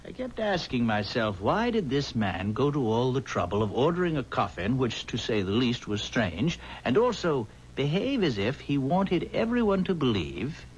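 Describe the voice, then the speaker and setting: A man's voice: mid-range voice, very animated, moderate pace, few pauses, close to an everyday voice. An American man playing an eager, stubborn amateur sleuth in a 1970s radio drama, explaining himself emphatically. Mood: confident, intrigued. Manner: emphatic, explanatory, rhetorical questions. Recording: noisy, muffled 1970s radio recording.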